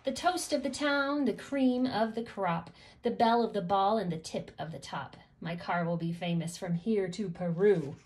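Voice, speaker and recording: A woman with a medium-pitched voice reads a rhyming picture book to children. Her delivery is expressive and follows the bounce of the verse, with clear stresses on key words. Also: normal speed, rough recording. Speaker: host reading a picture book aloud. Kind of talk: expressive rhyming storybook read-aloud for children.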